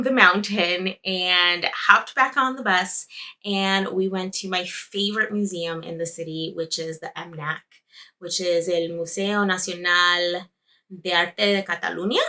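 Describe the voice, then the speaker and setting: A woman's voice: medium pitch, pushed and bright. A woman whose first language is Spanish, hosting a podcast and chatting in a rambling way about a trip, correcting herself and laughing often. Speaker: podcast host. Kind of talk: casual travel recap.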